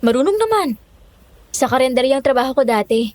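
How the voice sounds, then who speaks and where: Woman playing a high-voiced wary cook. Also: big pitch swings, brisk, darkish tone. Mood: wary, curious. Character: wary, needy female cook seeking work. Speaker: actress in an audio drama. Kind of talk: Tagalog horror audio drama acting.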